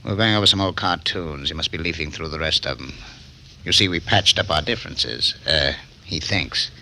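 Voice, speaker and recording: A man's voice: rumbling low, moderate pace, bright, forceful tone, slight background noise, full character voice. A man playing a scheming, insistent client of a killer in a 1940s radio drama. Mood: conspiring. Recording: vintage 1940s radio recording.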